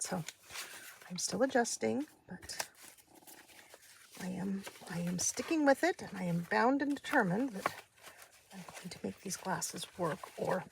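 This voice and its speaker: A woman talks casually through a craft project in a gravelly, fry-edged voice that is slightly nasal and stuffy. She takes her time, hesitating and correcting herself as she thinks aloud. Clear background noise and small clicks sit behind her. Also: on the low side. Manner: hesitant, meandering, self-interrupting. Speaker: craft video host. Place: indoors at a craft desk.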